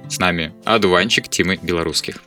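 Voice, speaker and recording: A man's voice: low-pitched, fast talker, pushed and bright, faint music behind. A male radio host asking questions and teasing his guest with jokes. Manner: joking, teasing. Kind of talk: radio interview, casual.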